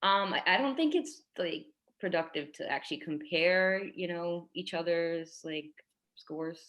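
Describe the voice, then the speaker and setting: Woman acting low-pitched, hesitant young schoolteacher. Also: a bit bright. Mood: awkward, delicate. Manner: hesitant, delicate, halting. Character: earnest, tactful young schoolteacher in her twenties. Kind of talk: staged reading of a contemporary drama.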